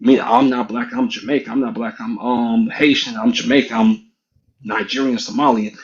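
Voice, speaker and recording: A man putting on a loud taunting singsong voice. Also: medium pitch, expressive, moderate pace, gravelly, highs cut off. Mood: mocking.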